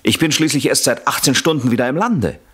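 An actor playing an edgy, defensive gentleman husband in a German radio crime drama, polite but blustering and agitated. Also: medium pitch, lively intonation, a little fast, husky. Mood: defensive, impatient.

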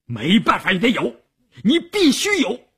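Man playing a fierce, high-strained army commissar. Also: huge pitch swings, unhurried, breathy edge, heavily acted. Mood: fierce insistence. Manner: forceful, threatening, commanding. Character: fierce male army political commissar demanding a life be saved.

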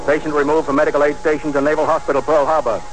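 An actor playing an impersonal military official reading medical records in clipped 1940s radio style. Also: male voice, fairly high pitch, little pitch movement, rapid-fire, lightly acted, poor audio. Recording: old radio recording under loud music.

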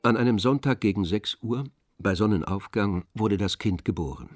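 A man's voice: fairly low pitch, moderate pace. A man reading scripted literary narration for an audio drama.

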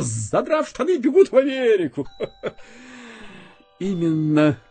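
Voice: medium pitch, warm tone, breathy edge, exaggerated voice. An actor in a radio play voicing a melodramatic, philosophizing housefly with a theatrical, buzzing delivery.

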